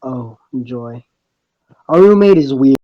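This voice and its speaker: A man's voice: mid-range voice, expressive, takes it slowly, muffled recording. A man playing a wry, bemused young student who narrates his thoughts in a dry, sardonic way, in a visual novel.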